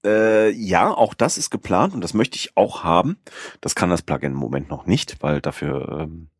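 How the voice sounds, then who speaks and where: Low-pitched guy on a podcast, lots of ums and restarts, dry room. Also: expressive, moderate pace, slightly rough, no room sound. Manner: rambling, many fillers and restarts. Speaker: podcast host. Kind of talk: casual podcast chat.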